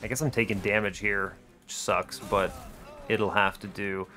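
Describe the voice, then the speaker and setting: A man's voice: on the low side, steady tone, moderate pace, bright, forceful tone. Man thinking aloud over music, wry and casual. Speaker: video game streamer explaining his play.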